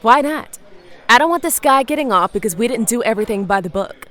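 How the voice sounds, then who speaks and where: A woman's voice: on the high side, expressive, a little fast, fairly bright tone. A woman playing a stern, dutiful small-town police chief in a radio drama, firm and businesslike. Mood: firm, frustrated.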